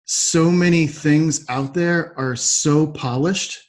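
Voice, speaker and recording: A man's voice: fairly high pitch, takes it slowly. A man hosting a conversational podcast interview, agreeable and thoughtful, rambling a little as he develops his points. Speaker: podcast host.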